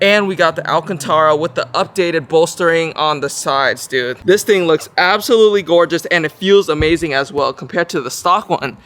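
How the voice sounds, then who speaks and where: A young man talking casually to camera in a garage, excited and slangy as he shows off a car. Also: a little high. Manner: excited, slangy, hypes things up. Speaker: car vlogger. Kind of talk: casual vlog talk to camera.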